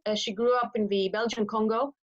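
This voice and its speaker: A woman answering interview questions in English, measured and thoughtful with some ums. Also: fairly high pitch, fairly even intonation. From Greece. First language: Greek. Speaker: guest translator. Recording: muffled call audio.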